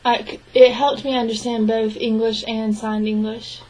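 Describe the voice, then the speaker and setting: A woman gives a spoken interpretation of a signed interview. Her voice sits a little high and stays flat and level. She talks in an even, measured way and often breaks off and restarts. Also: rough recording. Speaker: sign language interpreter voicing a deaf man's answers.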